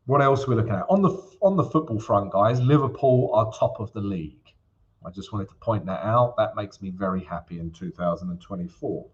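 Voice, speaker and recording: A man hosting a live trading show, talking casually and often turning to his audience. His pitch swings widely. The audio is a little muffled, like a typical livestream. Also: expressive, a little fast. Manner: chatty, peppers lines with "yeah?" and "guys". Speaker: forex livestream host. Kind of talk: live trading chart walkthrough. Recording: slightly dull livestream audio.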